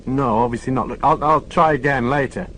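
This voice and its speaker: A man's voice: mid-range voice, fairly quick, a bit bright, slight background noise, pitched above the performer's own voice, close to an everyday voice. A man reading an audiobook, voicing an earnest, put-upon police sergeant who talks plainly and gets exasperated. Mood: flustered.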